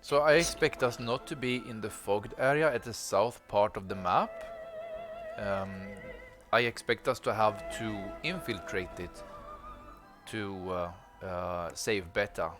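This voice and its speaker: A man's voice: fairly low pitch, a little slow, music in the background. A man giving casual let's play commentary, thinking aloud hesitantly as he goes. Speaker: game player and commentator.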